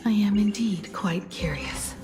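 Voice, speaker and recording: A woman voicing a composed, gentle, dutiful noblewoman in a video game, formal and measured, over music. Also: medium pitch, animated, lightly acted. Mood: calm, earnest.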